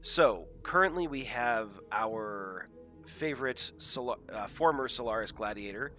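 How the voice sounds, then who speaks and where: A man's voice: medium pitch, lively intonation, unhurried, sharp tone, faint music behind. A man hosting a live game stream, chatting casually and self-deprecatingly to viewers through a headset, congested from a head cold.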